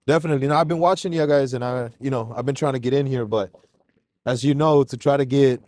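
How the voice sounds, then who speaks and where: A man from Mexico, a guest on a casual podcast interview, telling his story in a relaxed, slangy way. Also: medium pitch, quick pace, dry recording. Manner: relaxed, slangy, calls host "bro".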